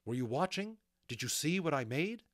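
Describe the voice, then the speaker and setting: A man's voice: fairly high pitch, big pitch swings, moderate pace, a bit bright, pitched above the performer's own voice. A man voicing an insecure, earnest magician in a storybook, easily indignant. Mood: eager.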